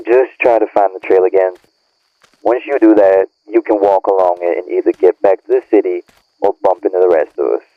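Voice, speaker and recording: A man's voice: low-pitched, steady tone, a little fast, no room sound. A man acting a bitter, irritated young friend in an audio roleplay, scolding in profane slang through a phone-like filter. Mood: annoyed, scolding. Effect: phone or radio filter. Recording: narrow tinny phone-like band.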